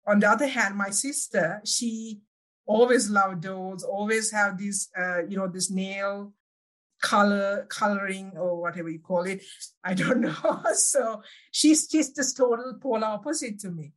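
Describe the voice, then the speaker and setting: A woman from Sri Lanka with a Sri Lankan accent, speaking English as a second language, giving reflective, personal interview answers and often pausing to correct herself. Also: medium pitch, rough recording. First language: not English (English is second language). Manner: halting, searching for words, self-correcting. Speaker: interview guest.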